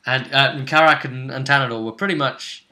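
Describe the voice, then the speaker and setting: A man telling the story of a tabletop role-playing session as a host, in a casual, halting way, acting out bits of dialogue as he goes. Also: mid-range voice, steady tone, pushed and bright.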